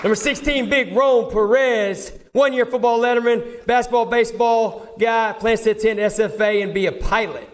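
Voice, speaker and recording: A high-pitched male voice announces honorees at a live award ceremony. He works through a roster informally, in the manner of a coach presenting his team. A crowd and clear background noise sit around the voice. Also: steady tone, moderate pace, few pauses. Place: public award ceremony with audience.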